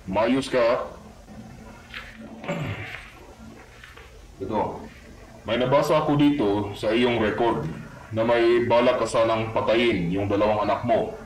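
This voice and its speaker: A man's voice: mid-range voice, fairly even intonation, moderate pace, lightly acted. A man acting as a stern police chief who questions a confessed murderer in a probing way, in an amateur horror drama. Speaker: police interrogator. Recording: echoey room with faint noise.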